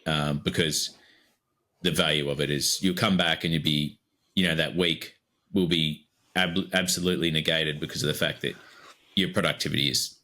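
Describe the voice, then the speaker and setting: An Australian man with a low-pitched voice co-hosts a podcast in easygoing chat. His tone is bright and forward, and he rambles a bit with lots of fillers as he talks. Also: fairly even intonation, moderate pace. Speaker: podcast co-host.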